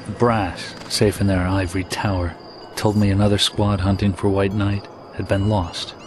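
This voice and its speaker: An actor playing a weary, sombre soldier narrating a war story in a video game cutscene. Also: male voice, on the low side, flat delivery, moderate pace, background noise, lightly acted. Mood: grim, sombre. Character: weary grim soldier narrating his search for his lost brother.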